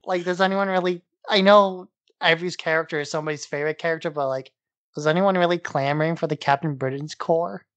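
A man's voice: on the high side, steady tone, fast, fairly bright tone, no echo, muffled recording. A man co-hosting a podcast, talking casually and conversationally. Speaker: podcast co-host.

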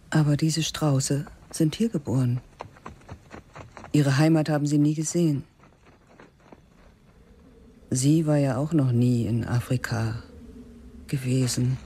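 Slow, husky woman narrating. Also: extremely low pitch, mellow. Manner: slow, measured, spare delivery, often dropping to a whisper. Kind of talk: scripted literary narration for a radio play.

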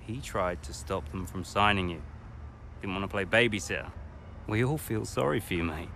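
A cynical paddock man for a racing game. He needles in clipped phrases, in a lowish voice with faint outdoor noise behind. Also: normal speed, gravelly, pushed and bright, exaggerated voice. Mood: needling. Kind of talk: video game cutscene acting.

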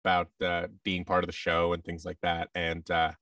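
Low-voiced American man, slightly off-mic. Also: completely flat delivery, moderate pace, fairly bright tone, no room sound, distant mic. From United States. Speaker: guest, voice actor and audio drama producer. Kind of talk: casual interview conversation. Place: large office room, indoors. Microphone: headset with boom-arm microphone on a video call.

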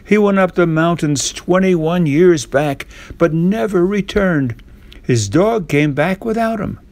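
A man voicing a very old, tender village mother in a storybook, with plaintive, drawn-out delivery. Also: sits high, animated, darkish tone, pitched above the performer's own voice, full character voice. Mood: wistful.

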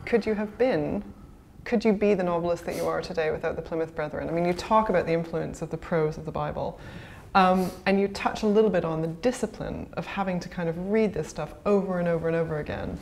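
A woman in her thirties with a lowish voice and plenty of vocal fry asks interview questions in a conversational way. There is some clear background noise in the small room. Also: quick pace, darkish tone. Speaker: interviewer, magazine editor. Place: small indoor office room, seated at a table.

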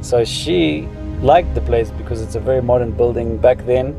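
A man in his fifties with a Zimbabwean accent tells personal stories for a vlog. His pitch sits in the middle, and he explains things casually as he reminisces. Music plays clearly behind his voice. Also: normal speed, poor audio. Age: 50s. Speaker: vlogger.

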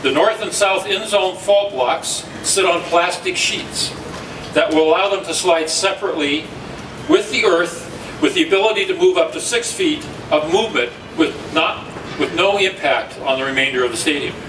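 A man giving steady explanatory remarks at a press conference. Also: on the high side, normal speed. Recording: echoey room from far off, noisy.

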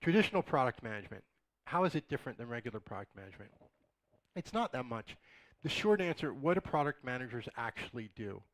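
A middle-aged man with a slightly raspy voice, giving a casual conference talk with joking asides to the audience. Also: mid-range voice, very animated, fast, no room sound, poor audio. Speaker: conference speaker. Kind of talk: conversational lecture. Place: dark auditorium, in front of a projected slide.

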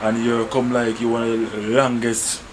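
A man's voice: mid-range voice, completely flat delivery, normal speed. A man, chatting casually as a vlogger inside a small takeaway shop, often restarting his sentences. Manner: chatty, repeats himself, many restarts. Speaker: vlogger interviewing a shop owner. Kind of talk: casual street vlog chat. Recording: roomy shop with loud background noise.

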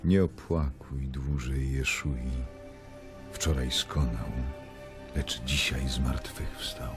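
A man's voice: rumbling low, steady tone, slow, heavily acted. An actor playing a mysterious, solemn, forgiving risen holy man in a radio drama. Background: music.

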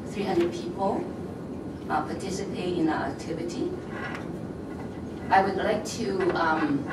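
A woman whose first language is Cantonese speaks slowly and carefully in English, with frequent pauses. Her lowish voice sits far off in an echoey, packed meeting room, and heavy room noise is always under it. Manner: careful, halting, with many pauses. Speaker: public speaker at school board meeting. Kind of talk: prepared public comment. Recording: echoey, distant, noisy room recording.

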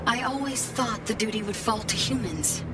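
A woman's voice: a little high, moderate pace, far from the mic, over loud music, lightly acted. A woman voicing an ancient alien AI in a video game, genteel, chirpy and formal, heard a little off the mic over a game score. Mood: polite. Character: ancient female alien AI, cheerful, prim, curious.

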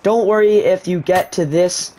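A man with a fairly high voice narrates a gameplay tutorial over the game footage. He explains each step plainly for his viewers, with a faint hiss of noise under his voice. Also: steady tone, normal speed, mellow. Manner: explanatory, walks viewers through steps. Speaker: gaming YouTuber.

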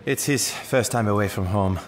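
A man's voice: fairly low pitch, moderate pace, natural voice. An actor playing a secretive, troubled French father with a hesitant manner in a radio drama. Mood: guarded.

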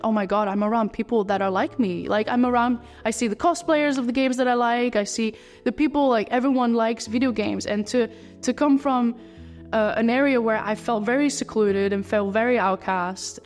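A young Dutch woman with a Dutch accent, answering interview questions in a casual way, talking quickly and tripping over her words with many restarts. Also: a little high, darkish tone, long reverb tail. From Netherlands. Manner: fast talker who trips over words, many restarts and fillers. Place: living-room set with lamp and window, indoors.

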